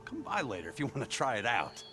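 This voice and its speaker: A man's voice: mid-range voice, huge pitch swings, fast talker, over music. A man acting an easygoing, friendly hunter in video game dialogue, speaking casually. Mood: breezy, casual.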